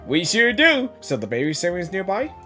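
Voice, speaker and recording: A woman doing casual, excitable game reaction commentary, slangy and playful. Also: fairly low pitch, moderate pace, over music. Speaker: gaming reaction streamer.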